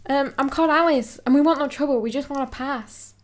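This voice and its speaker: A woman's voice: sits high, brisk, close to an everyday voice. A woman playing a brave, determined young heroine in a fantasy radio drama, earnest and resolute. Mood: urgent.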